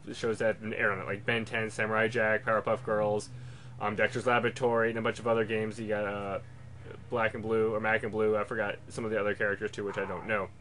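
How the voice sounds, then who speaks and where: A man's voice: mid-range voice, a bit bright. A man hosting a casual, unscripted video game review in a rambling, loosely worded way.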